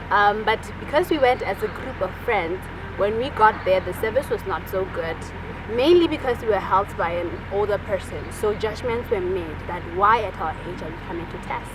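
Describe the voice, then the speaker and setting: A young woman speaks earnestly and steadily about her experience. Cars are clearly heard passing behind her outdoors. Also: medium pitch, background noise. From Botswana. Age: 20s. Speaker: interviewee. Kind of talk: personal testimony.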